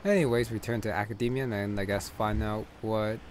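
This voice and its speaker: A man's voice: lowish voice, fairly even intonation, fairly quick, slight background noise. A man giving casual gameplay commentary, rambling and thinking aloud. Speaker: gamer, let's play host.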